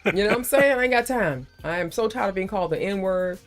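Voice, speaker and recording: A woman's voice: medium pitch, lively intonation, fast, soft background music. A woman hosting a livestream, chatting casually and playfully and calling the other person baby. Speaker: livestream host.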